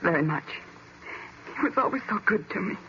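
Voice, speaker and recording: A woman playing a hesitant, trusting young niece with an American accent, halting and fragile in 1940s radio acting style. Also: mid-range voice, expressive, fairly bright tone, close to an everyday voice. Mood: hesitant, upset. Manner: halting, faltering. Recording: muffled, hissy old radio recording.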